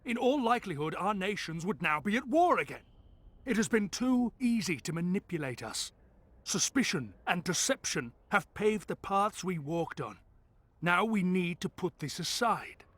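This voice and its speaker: An earnest male peace envoy from a fantasy game. He speaks formally and grandly in a higher-set voice with lively inflection and a close, dry sound. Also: normal speed, no room sound, full character voice. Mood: earnest appeal. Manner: formal, declamatory. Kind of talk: fantasy video game acting.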